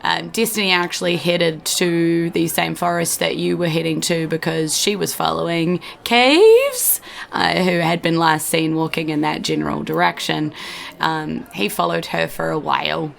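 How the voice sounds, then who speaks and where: Woman, New Zealand accent, dungeon master, low pitch, creaky voice, chatty, laughing. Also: moderate pace. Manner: chatty, laughs often, many ums. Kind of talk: narrating a tabletop game.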